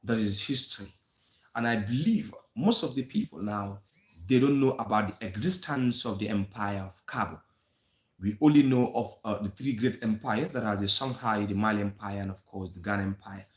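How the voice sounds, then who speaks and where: A Gambian man with a Gambian English accent hosts a live history stream in an earnest, lecturing manner, stopping often on fillers and restarting his sentences. His voice sits fairly low. The sound is roomy and echoey. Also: moderate pace. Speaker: host of a history channel.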